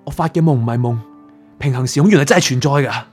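A man's voice: medium pitch, quick pace, darkish tone, breathy edge, close to an everyday voice. Male actor as lost, naive young man in fantasy radio drama; Hong Kong Cantonese, hesitant, pleading, light music underneath. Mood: nervous, bewildered. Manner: hesitant, stammering. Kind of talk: radio drama acting with first-person narration. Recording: music behind.

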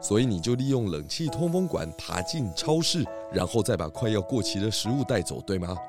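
A man voicing a clever, genial pig detective in a children's audio drama, speaking in a deliberate, explanatory way. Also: lowish voice, very animated, over music. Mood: deductive, confident.

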